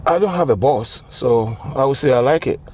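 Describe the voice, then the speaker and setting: A man's voice: mid-range voice, moderate pace, a little hiss or hum. A middle-aged man from Ghana, a taxi driver, telling stories casually in his cab, loose and slangy. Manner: relaxed storytelling with slang. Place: inside a taxi.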